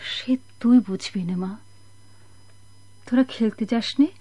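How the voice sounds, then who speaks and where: An actress playing a sorrowful, torn mother in a radio drama, speaking haltingly in a breathy, melodramatic way. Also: fairly high pitch, dark tone, dry recording. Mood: subdued, tender. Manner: halting, anguished, breathy pleading with repeated words. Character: sorrowful, torn mother waiting for a lost husband.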